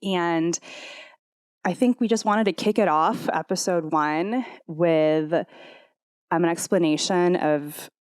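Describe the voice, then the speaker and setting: A woman's voice: fairly low pitch, slow, no room sound. Bubbly woman podcast co-host, dry sound. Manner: bubbly, rambling, lots of fillers. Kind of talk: casual chat. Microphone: podcast microphone.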